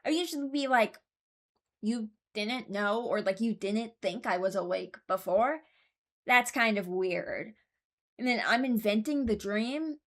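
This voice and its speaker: A woman's voice: fairly high pitch, measured pace, fairly bright tone. Rambling young woman, casual and unscripted. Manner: rambling, hesitant, thinking aloud. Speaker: video host ranking game voice lines.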